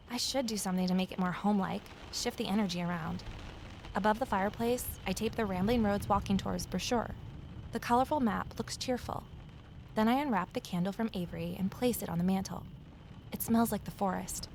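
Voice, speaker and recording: A woman's voice: medium pitch, creaky, a little mellow, slight background noise, natural voice. An actress playing a guarded, lonely amateur sleuth who narrates her own mystery in an introspective audio drama style.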